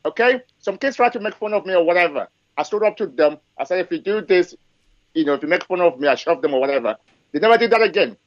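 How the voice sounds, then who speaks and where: A man's voice: sits high, expressive, fast, no echo, dull audio. A man in his forties from Africa, speaking in a live discussion with emphasis and frequent checks of "okay" and "yes". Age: 40s. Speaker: guest speaker.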